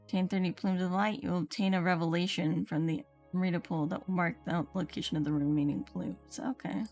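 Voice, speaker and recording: A woman's voice: lowish voice, fairly even intonation, a little fast, a little mellow. A woman game streamer chatting casually and reading aloud as she plays, muttering to herself now and then. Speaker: livestreamer. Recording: game music behind voice. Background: video game music.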